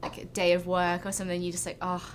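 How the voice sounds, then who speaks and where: A young Englishwoman answers questions in a relaxed, meandering way, her sentences full of small fillers. Her voice sits a little low for a woman. A faint background hum runs behind her. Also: fast talker. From England. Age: young adult. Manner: relaxed, rambling, lots of "like". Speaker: international student interviewee. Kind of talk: casual interview answers.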